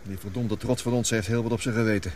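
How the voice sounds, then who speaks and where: A man's voice: on the low side, steady tone, darkish tone, natural voice. Actor as a gloomy male scientist, noisy recording. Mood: bitter regret. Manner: grave, deliberate, explanatory. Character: gloomy, guilt-ridden male scientist on a doomed expedition. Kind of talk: radio drama acting.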